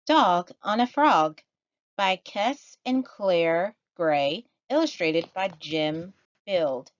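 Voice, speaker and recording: Lady doing a kids read-aloud, lively pitch, slow pace, dry slightly dull recording. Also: dry recording. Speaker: storybook reader. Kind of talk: children's read-aloud narration.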